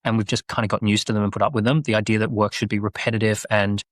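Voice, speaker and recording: A man with a low voice talks quickly and persuasively as a guest on a podcast. He is animated and makes his points in long runs of speech. The sound is dry and close. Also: no echo. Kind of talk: podcast conversation.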